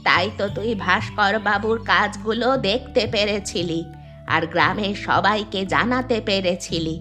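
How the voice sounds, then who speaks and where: Cartoon role: elderly poor village woman, played by an actress, forceful bright tone, music underneath. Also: mid-range voice, moderate pace, natural voice. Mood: relieved, content. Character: poor old village woman, bitter but forgiving. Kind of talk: Bengali moral cartoon dubbing.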